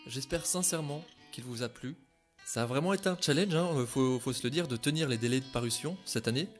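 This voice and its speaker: A man telling a scripted story with expressive, dramatic delivery at a middle pitch. Music plays clearly beneath his voice. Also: fairly quick. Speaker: podcast creator and narrator. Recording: music playing behind.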